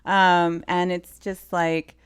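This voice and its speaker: A woman's voice: on the low side, takes it slowly. A woman guest in a casual interview, telling anecdotes with acted-out dialogue and lots of "like". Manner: animated storyteller, quoting dialogue, frequent "like". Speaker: interview guest, film assistant director.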